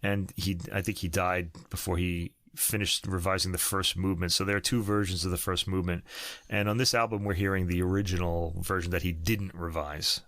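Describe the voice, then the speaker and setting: A man's voice: low-pitched, flat delivery, fairly quick, a bit bright, no echo. A man hosting a podcast, chatting casually about classical recordings, rambling with many fillers and restarts. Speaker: podcast host.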